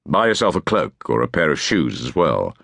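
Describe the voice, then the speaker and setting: A man voicing a gruff, ageing Roman soldier who speaks in curt commands and flinty threats, in an audiobook. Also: rumbling low, very animated, normal speed, fairly bright tone, no room sound, studio quality, full character voice. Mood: gruff, sentimental.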